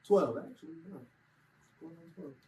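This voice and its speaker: A man from Kentucky, a keyboard-playing musician, telling animated stories in a casual interview. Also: fairly high pitch, normal speed, far from the mic, a little hiss or hum, rough recording. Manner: animated storyteller, lively quoted voices.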